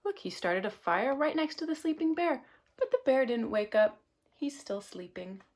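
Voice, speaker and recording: A woman reading a picture book aloud to children, expressive, with gasps and chatty asides. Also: high voice, a little slow. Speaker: storybook reader.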